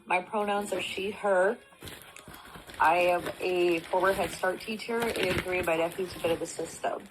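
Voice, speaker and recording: A woman's voice: lowish voice, fairly even intonation, normal speed, background noise. A woman in her thirties with a stuffy nose from allergies, talking casually and rambling with many fillers and restarts. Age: 30s. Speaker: panelist. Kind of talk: casual discussion.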